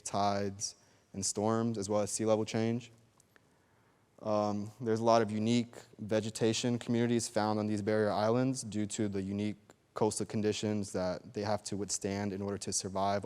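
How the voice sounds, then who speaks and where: A young man giving a student research presentation in a steady, slightly halting way with fillers. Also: fairly low pitch, clear, resonant tone, in a large hall. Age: young adult. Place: lecture hall.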